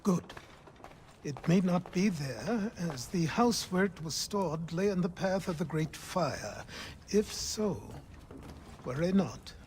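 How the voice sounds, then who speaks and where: An actor playing an old male mentor in a video game, measured, polite and used to giving instructions. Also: high-pitched, lively intonation, darkish tone, a little hiss or hum. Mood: calm instructing. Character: old man, commanding mentor, measured and dry. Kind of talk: video game character dialogue.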